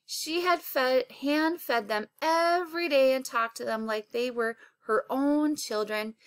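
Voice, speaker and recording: A woman's voice: sits high, slow pace, dry recording. A woman voicing a proud, folksy mother selling farm eggs in a children's storybook read-aloud. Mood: persuasive.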